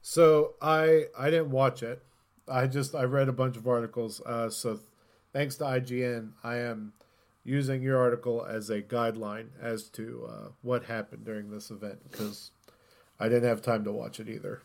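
A man's voice: medium pitch, flat delivery, normal speed, gravelly. A man co-hosting a podcast, chatting casually about gaming news in an informal, rambling way. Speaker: podcast host.

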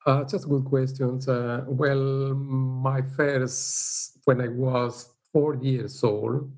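A middle-aged man from El Salvador with a Salvadoran Spanish accent, speaking English as a second language in a casual interview, halting and unhurried, with frequent fillers. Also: mid-range voice, level tone, a little mellow. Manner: unhurried, halting, with frequent "you know" and light laughs. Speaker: interviewee, teacher. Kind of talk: casual life-story interview.

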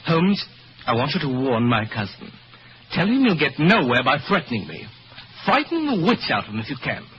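A man playing an arrogant, brusque English expatriate gentleman in a radio drama, curt and demanding in manner. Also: fairly high pitch, wildly swooping pitch, normal speed. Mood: demanding, indignant. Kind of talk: old-time radio drama acting. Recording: dull, noisy old radio recording.

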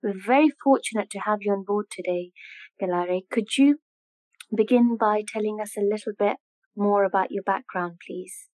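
Woman podcast host, formal scripted delivery, dry close sound, mid pitch. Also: normal speed, darkish tone, dry recording, rough recording. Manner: polished, formal, reads from a script. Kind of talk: read introduction, then interview questions.

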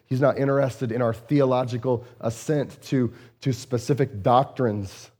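A man, a lead pastor, preaching in an earnest, conversational way, often repeating words and using fillers, his voice a bit strained and hoarse. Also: mid-range voice, mellow. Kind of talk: conversational sermon.

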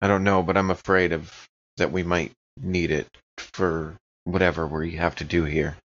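Man, deep voice, dullish recording, casual in-character play, horror tabletop game. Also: flat delivery, normal speed, lo-fi sound. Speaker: player in a horror tabletop podcast. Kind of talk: casual improvised in-character roleplay.

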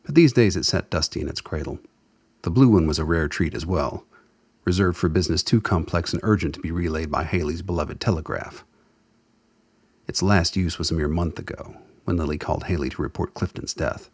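A man narrating a radio drama as a wry storyteller, with a husky voice and scripted, measured delivery. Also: low-pitched, a little mellow, no room sound, studio quality. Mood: wry storytelling.

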